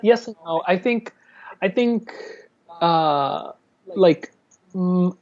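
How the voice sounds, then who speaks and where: A man's voice: sits high, drawn-out words, darkish tone, muffled recording. A man in his thirties, an artist from Miami, talking candidly in an interview, often restarting his sentences. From Miami, Florida. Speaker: artist, guest. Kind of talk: conversational interview.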